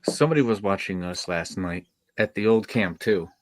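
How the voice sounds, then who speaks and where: Brainy, halting young camp counselor read by a man. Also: deep voice, dry recording, close to an everyday voice. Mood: uneasy. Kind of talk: live amateur table read of a horror script.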